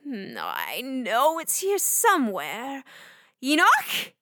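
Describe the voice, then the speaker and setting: Animated, bright-voiced eccentric old woman. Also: high-pitched, slow, no room sound, studio quality, exaggerated voice. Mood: fretful, searching. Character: absent-minded eccentric old woman, muttering and rummaging. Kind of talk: children's audio drama acting.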